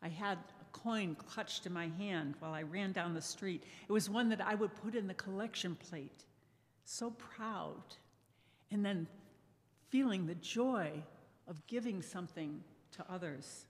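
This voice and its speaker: An older woman speaking softly and thoughtfully to a congregation, sharing memories in a measured way as a lay speaker. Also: mid-range voice. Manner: soft-spoken, measured, reminiscing. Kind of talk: reflective personal talk to a congregation. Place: church sanctuary. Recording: echoey church hall reverb.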